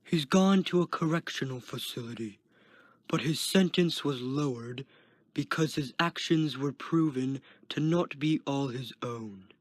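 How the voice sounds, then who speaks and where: A man's voice: unhurried, no room sound, studio quality, close to an everyday voice. A man reading a story as a smug, uncaring prison guard with a sarcastic, dismissive manner. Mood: quietly sarcastic. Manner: sarcastic, dismissive, low growled delivery.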